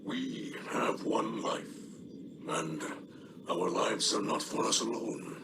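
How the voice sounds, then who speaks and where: A man voicing a solemn, honorable alien warrior and father, gravelly and breathy, speaking in grave, halting phrases, as video game dialogue. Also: lowish voice, huge pitch swings, very noisy, heavily acted. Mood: solemn, reflective.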